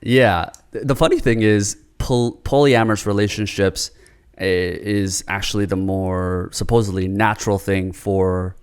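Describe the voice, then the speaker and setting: Podcast host guy from south korea, low smooth voice, careful and thoughtful, keeps saying "right?". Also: fairly even intonation. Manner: measured, thoughtful, ends points with "right?". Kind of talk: interviewer asking questions.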